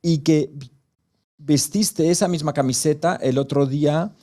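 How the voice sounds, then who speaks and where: A male television interviewer with a mellow, darker voice. He asks his questions in a measured way, prompting the guest along. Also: on the high side. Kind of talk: TV studio interview.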